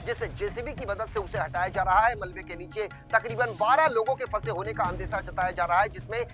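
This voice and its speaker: A man's voice: high-pitched, brisk. A man reading a scripted news bulletin as anchor, formal and emphatic. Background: music bed.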